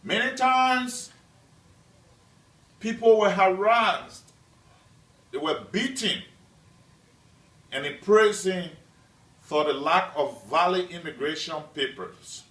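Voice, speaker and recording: Student speaker from Liberia, man, fairly high voice, unhurried prepared speech, heard off-mic. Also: expressive, a bit bright. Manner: slow, measured delivery with deliberate pauses. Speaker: student presenter. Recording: echoey room, mic at a distance.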